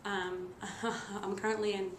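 A woman in her twenties telling a personal story casually to camera, halting and stuttering with repeated sounds, blocks and frequent fillers. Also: mid-range voice, flat delivery. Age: 20s. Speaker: nursing student and stuttering therapy client. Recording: roomy echo with noticeable background noise.

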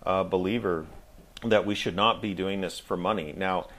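A middle-aged American man reminiscing casually as a guest in an interview, speaking in a reflective, halting way. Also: low-pitched, steady tone, a bit bright. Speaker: guest, audio drama actor.

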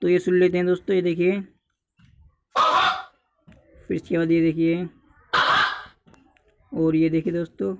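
A man's voice: sits high, level tone, measured pace, creaky, pauses often, dark tone. A young man narrating a casual tutorial, repeatedly urging and addressing his viewers as friends. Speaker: tutorial YouTuber.

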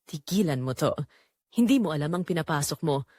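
A frightened mother pleads and stands her ground, acted by a woman narrator. Her pitch leaps about expressively in a dry, close audio drama recording. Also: animated, fairly quick, dark tone, dry recording. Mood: frightened, wary.

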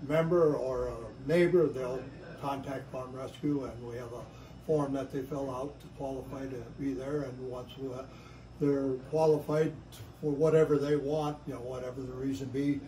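Older man, mellow voice, echoey room. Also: medium pitch, fairly even intonation, normal speed, recorded from a distance, a little hiss or hum. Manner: plain, practical explaining. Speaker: nonprofit farm aid staff. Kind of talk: interview answers. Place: indoor lobby with stone fireplace.

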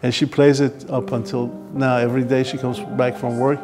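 An older man reminiscing in a documentary interview, halting and repeating words, on a lapel mic indoors. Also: mid-range voice, flat delivery. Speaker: physician giving an interview. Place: furnished living room indoors. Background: music.

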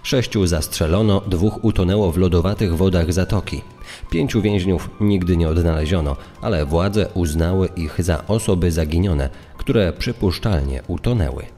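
A man's voice: sits low, moderate pace, mellow. A man reading scripted true-crime narration as a guest narrator. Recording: long reverb, music underneath. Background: background music.

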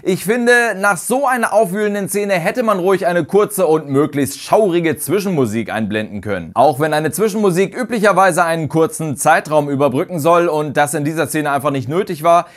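A man in his thirties delivering scripted, articulate commentary as a video host. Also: a little high. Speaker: video host presenting a ranking. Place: small home studio room. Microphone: lapel mic. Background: eerie background music.

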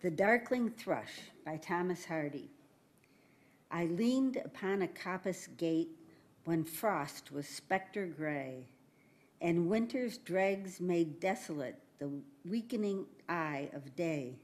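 A woman reading a poem aloud to an audience in a meeting room, measured and deliberate. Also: on the low side, expressive. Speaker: poetry reader.

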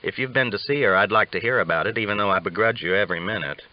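A man's voice: lowish voice, very fast, dull audio, natural voice. A man voicing a talkative, teasing old Texas cowboy with folksy, drawling talk. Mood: needling.

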